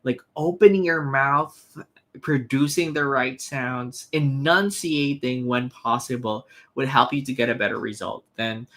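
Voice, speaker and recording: A man's voice: medium pitch, a little slow, a bit bright. A man teaching an English test prep webinar, explaining earnestly with fillers and restarts. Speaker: IELTS teacher. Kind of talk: webinar lesson with slides.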